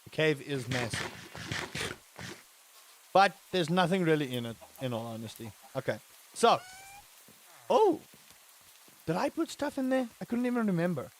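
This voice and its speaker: Animated man streaming gameplay to chat. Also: on the high side, normal speed, pauses often, slight background noise, poor audio. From England. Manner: animated, rising to excited exclamations; chatty, addresses chat directly.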